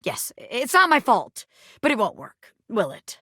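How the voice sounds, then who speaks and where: A woman voicing a cocky, bossy small-time gangster boss, a living pin in a video game, who talks tough but has a soft spot. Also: a little high, huge pitch swings, normal speed, breathy edge, no echo, clean studio sound, heavily acted. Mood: scheming, cocky. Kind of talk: video game character voice acting.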